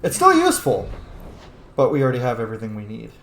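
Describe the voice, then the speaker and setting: A man hosts a casual game playthrough in a mid-pitched voice. He is informal and sweary, often muttering to himself, in an echoey room with faint noise. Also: huge pitch swings, quick pace, fairly bright tone. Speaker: gamer hosting a playthrough. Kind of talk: casual gameplay commentary.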